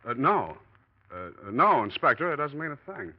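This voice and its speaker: A man's voice: mid-range voice, very animated, moderate pace, natural voice. A man playing a scheming, hard-boiled American office man in 1940s radio acting style. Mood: guarded. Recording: muffled, noisy old radio recording.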